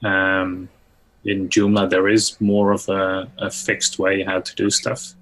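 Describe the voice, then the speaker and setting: A man's voice: sits low, creaky, fairly bright tone, low-quality recording. A man in a casual technical discussion on a group call, stating his opinions in a matter-of-fact way. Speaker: web software expert on a livestream.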